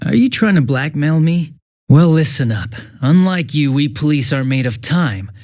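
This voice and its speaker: An actor playing a smug, two-faced young police detective in a video game, casual and sarcastic with a taunting, cruel edge. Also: male voice, fairly high pitch, expressive, normal speed, a little mellow, natural voice. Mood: scornful, evasive. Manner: casual, sarcastic, taunting, shifting from breezy to menacing. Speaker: police officer.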